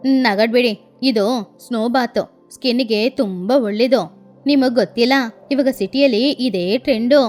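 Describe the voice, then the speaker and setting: A woman's voice: on the high side, unhurried, darkish tone, faint music behind, pitched below the performer's own voice, lightly acted. A woman voicing a fussy, theatrical adult female crow aunt in a cartoon, who wails and talks her way out of trouble. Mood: defensive. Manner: theatrical, wailing and self-justifying.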